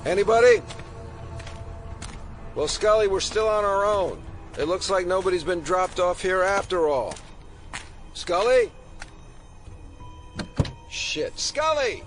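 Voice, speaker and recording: An actor playing a dry, dogged FBI investigator in an audio drama, with wry, understated delivery. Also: male voice, high-pitched, animated, slow, long pauses, faint music behind. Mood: searching, alarmed.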